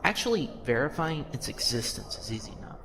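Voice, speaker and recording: A man's voice: medium pitch, sing-song, brisk, gravelly. A man playing a matter-of-fact, technical spaceship science officer in an audio drama. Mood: businesslike. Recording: reverberant hall, noisy ship ambience.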